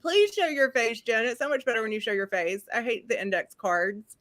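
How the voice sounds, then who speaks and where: A woman hosting a live stream recap, excitable, cutting in to steer the talk back on topic. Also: fairly high pitch, a little fast.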